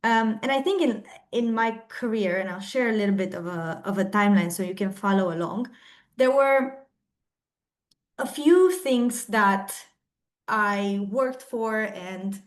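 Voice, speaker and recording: A young woman from Romania giving a webinar talk to students in an earnest, thoughtful way, often pausing with "um", over a video call. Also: medium pitch. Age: young adult. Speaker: webinar speaker.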